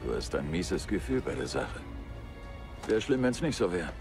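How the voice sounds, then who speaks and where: An actor playing an overconfident, breezy rogue adventurer in a video game. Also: male voice, fairly low pitch, very animated, moderate pace, creaky, music in the background. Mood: nonchalant. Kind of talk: dramatic video game acting.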